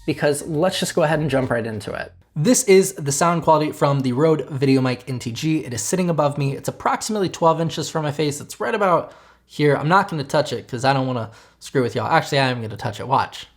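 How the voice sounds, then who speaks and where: Young male reviewer, fairly high voice, relaxed and talky, throws in playful asides. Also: quick pace. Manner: chatty, joking asides to viewers. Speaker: tech reviewer, channel host. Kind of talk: casual product review to camera.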